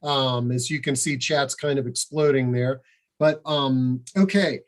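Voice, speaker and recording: A man hosting a virtual event and introducing the guest author, unhurried and full of ums and uhs. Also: mid-range voice. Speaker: host. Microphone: video call.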